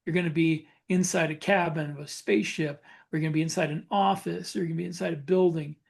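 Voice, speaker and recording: Man in halting, casual podcast talk. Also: fairly high pitch, steady tone, creaky, slightly rough. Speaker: podcast co-host and science fiction author.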